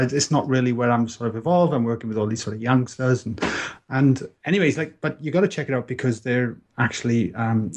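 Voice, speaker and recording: Welsh man, 30s, podcast guest; earnest, reflective storyteller, mid-pitched voice. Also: darkish tone. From Wales. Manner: earnest, reflective, frequent restarts. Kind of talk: casual interview storytelling.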